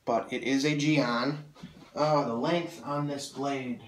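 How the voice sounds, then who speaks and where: A man's voice: medium pitch, steady tone, takes it slowly, a little hiss or hum. A young man with a slightly nasal voice from a cold, enthusiastically reviewing a sword in casual, rambling talk with many fillers. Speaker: sword collector reviewing blades. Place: small room full of swords, indoors. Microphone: camera mic from several feet away. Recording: roomy echo, mic at a distance.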